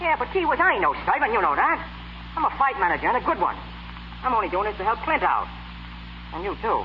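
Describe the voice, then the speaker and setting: Brash high-voiced Brooklyn fight manager. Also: male voice, very animated, fast. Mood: earnest, sweet-talking. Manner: pushy, slangy, ungrammatical tough talk. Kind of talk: 1930s radio comedy acting. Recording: scratchy, muffled 1930s radio recording.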